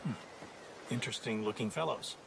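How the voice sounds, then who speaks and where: An actor playing a pompous, condescending army colonel who is easily riled, in a dramatic video game. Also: male voice, medium pitch, vocal fry, background noise, lightly acted. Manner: pompous, halting and dismissive.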